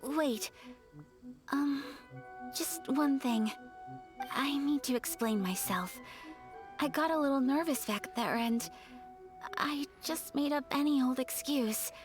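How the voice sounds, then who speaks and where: A woman voicing a gentle, polite and shy young noblewoman in a video game, speaking softly and courteously. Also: high voice, slow, pauses often, soft background music. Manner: soft, courteous, flustered at times.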